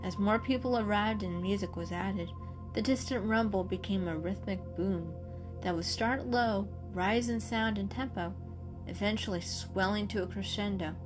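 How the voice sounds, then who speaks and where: A woman's voice: medium pitch, normal speed. Woman reading a children's story over music.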